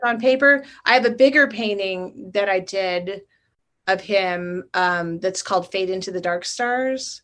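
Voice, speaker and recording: A woman's voice: medium pitch, a little slow, a bit bright. A middle-aged woman, a guest artist, talking thoughtfully and at length about her work over a video call. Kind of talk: casual interview talk.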